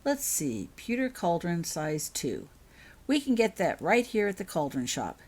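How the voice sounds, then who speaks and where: A woman's voice: on the low side, big pitch swings, unhurried, a little mellow. A woman voicing a friendly wizard father who explains things kindly in a storybook reading. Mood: businesslike, friendly. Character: friendly, helpful wizard father guiding a child.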